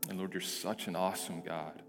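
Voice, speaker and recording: A male pastor with a hoarse, deep, raspy voice, praying and then preaching earnestly, often restarting and repeating words. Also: steady tone, a little fast. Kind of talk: prayer and sermon. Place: church sanctuary. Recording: reverberant church hall with soft music.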